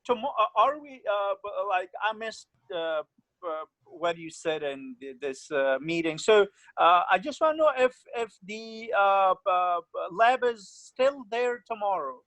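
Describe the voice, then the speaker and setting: A young man from Afghanistan, a student asking his instructor questions on a video call, hesitant and halting. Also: sits high, expressive, fairly bright tone, dry recording, rough recording. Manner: hesitant, many fillers and restarts. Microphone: computer mic on a video call.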